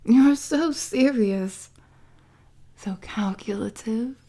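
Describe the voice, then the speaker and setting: A woman playing an unhinged, flirtatious female supervillain prisoner in a roleplay audio drama, with a breathy, husky, whispery voice and a teasing, mock-sweet manner. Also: on the high side, mellow, heavily acted. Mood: obsessive, fascinated. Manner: slow, teasing, sultry, mock-sweet taunting.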